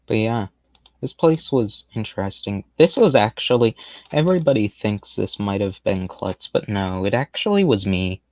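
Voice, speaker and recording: A man casually rambling through gameplay commentary on a stream, with a fairly monotone, laid-back and self-deprecating way of talking. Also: on the low side, moderate pace, no room sound, muffled recording. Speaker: game streamer.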